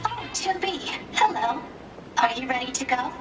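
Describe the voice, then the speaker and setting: A woman voicing a gentle, peace-loving machine in a video game, courteous and softly formal with small hesitations. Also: high-pitched, lively intonation, moderate pace, music in the background, poor audio. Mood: careful, earnest. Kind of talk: video game cutscene acting.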